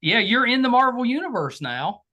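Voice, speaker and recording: A man hosts a casual interview. His voice is bright and moves up and down in a lively way. He talks informally and agreeably. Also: extremely high pitch, moderate pace, highs cut off. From Southern United States. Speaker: podcast host.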